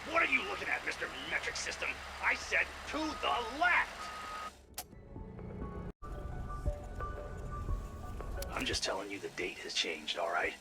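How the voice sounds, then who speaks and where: An actor playing an irritable, sarcastic, foul-mouthed scientist in a video game audio log. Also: male voice, a little high, wildly swooping pitch, normal speed, over music. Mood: irritated. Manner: sarcastic, exasperated, profane.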